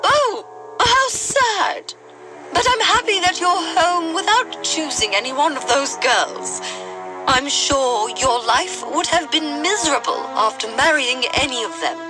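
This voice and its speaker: A woman's voice: sits high, animated, unhurried, rough recording. A woman voicing a possessive, imperious queen mother in a storybook fairy tale, with music behind her. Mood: doting, relieved. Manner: imperious, instructive, disapproving. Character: possessive, haughty queen mother with exacting standards.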